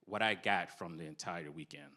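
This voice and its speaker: A man with an extremely deep voice and a bright, forceful edge gives an earnest testimonial as a church member. He talks in a conversational, sincere way. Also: normal speed.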